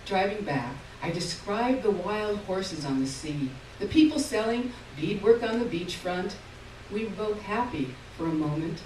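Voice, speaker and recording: Lively woman reader in a roomy hall. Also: mid-range voice. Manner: measured storytelling, lively in the dialogue. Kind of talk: live literary reading. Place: stage at a live reading event. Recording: roomy hall, distant mic, faint room noise.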